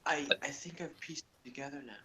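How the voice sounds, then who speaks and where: A man chatting casually on a review panel in a hesitant, halting way. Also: on the high side, fairly even intonation. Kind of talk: casual chat. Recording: dull lo-fi audio with hiss and clicks.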